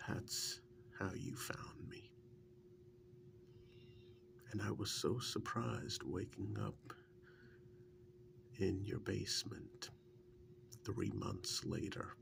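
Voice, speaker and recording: A man playing a wry, affectionate partner reminiscing in a soft-spoken, intimate ASMR role-play, halting and musing, breathy and often slipping into a whisper. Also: low voice, heavily acted. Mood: wry, fond.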